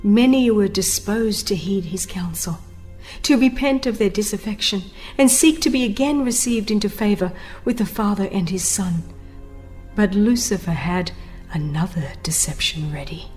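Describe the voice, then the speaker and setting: A woman's voice: mid-range voice, normal speed, darkish tone. A woman narrating a religious audiobook in a solemn, formal, dramatic scripted delivery. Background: music under the voice.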